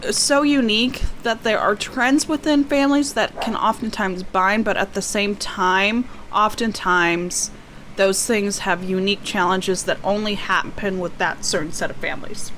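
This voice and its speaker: A woman with a slightly hoarse, tiring voice, chatting casually and a bit self-deprecatingly as she presents an unboxing in a rambling way. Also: on the low side, a bit bright, slight background noise, rough recording. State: losing her voice, slightly hoarse. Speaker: book vlogger.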